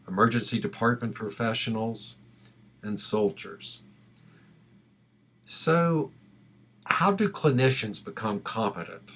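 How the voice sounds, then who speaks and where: A man narrating a lesson as an instructor, in a measured, didactic way. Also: lowish voice, a bit bright.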